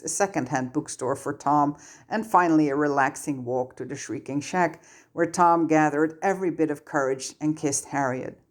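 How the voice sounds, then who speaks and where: A woman with a low-pitched voice reads fiction aloud. She delivers scripted narration as the author and storyteller. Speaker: narrator reading own fan fiction.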